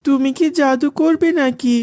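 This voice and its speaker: A woman's voice: high voice, steady tone, moderate pace, darkish tone, close to an everyday voice. A woman voicing a humble, pious young servant boy in a cartoon, meek and earnest. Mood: guarded, innocent. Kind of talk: cartoon voice acting.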